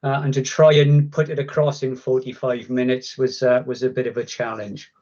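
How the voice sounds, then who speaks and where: A man's voice: mid-range voice, flat delivery, normal speed, darkish tone, highs cut off. A man with a Welsh accent giving a chatty, self-deprecating guest talk on a video call. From Wales. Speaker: guest speaker. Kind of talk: online conference presentation.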